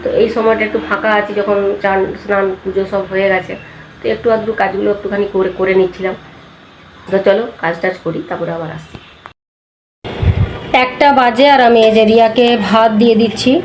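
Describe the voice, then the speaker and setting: A woman's voice: mid-range voice, steady tone, brisk. A woman with an Indian Bengali accent chatting casually for a family vlog, often repeating her phrases. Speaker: family vlogger. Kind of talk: casual home vlog chat. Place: indoors at home. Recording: roomy echo, loud household background noise.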